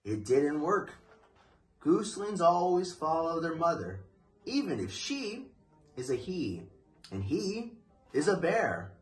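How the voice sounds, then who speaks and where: A young man reading a children's picture book aloud in an expressive, deliberate storytelling manner. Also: fairly high pitch, low-quality recording. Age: young adult. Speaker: storybook reader. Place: living room, indoors.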